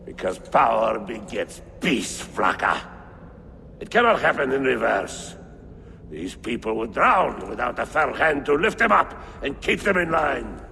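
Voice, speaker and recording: Grandiose, gravelly Byzantine commander. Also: male voice, medium pitch, big pitch swings, a little slow, sharp tone, a little hiss or hum, exaggerated voice. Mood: contemptuous. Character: proud, domineering male Byzantine Templar commander. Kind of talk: dramatic video game acting.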